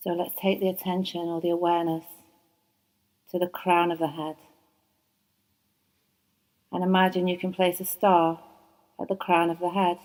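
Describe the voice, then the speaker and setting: Low, mellow-voiced woman guiding relaxation. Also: flat delivery. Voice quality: soft and soothing. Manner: slow, unhurried, with long pauses. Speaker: yoga nidra teacher. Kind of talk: guided relaxation meditation. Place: yoga training course.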